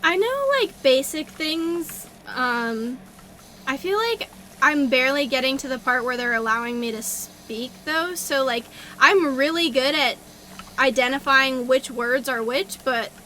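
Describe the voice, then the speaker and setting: A young woman streamer chatting casually, excitable, laughing and tripping over her words. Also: sits high, measured pace, slight background noise. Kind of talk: casual chat on a livestream.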